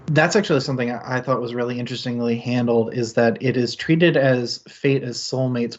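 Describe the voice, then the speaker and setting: A man's voice: medium pitch, lo-fi sound. A man hosting a casual book discussion on a stream, thinking aloud in long, rambling sentences and laughing often. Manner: thoughtful, rambling, with frequent laughs. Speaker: book club stream host.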